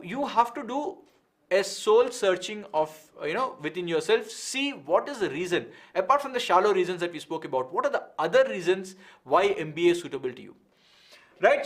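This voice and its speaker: Indian guy coaching students on a livestream, pretty high voice, frank and explainy, asks then answers his own questions. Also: a bit bright. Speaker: exam coaching instructor. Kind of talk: live online lesson, answering viewer questions.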